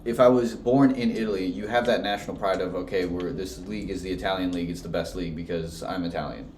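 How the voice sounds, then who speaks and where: Low-voiced young man in an echoey room. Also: steady tone, quick pace, a little mellow, a little hiss or hum. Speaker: podcast co-host. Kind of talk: casual sports chat.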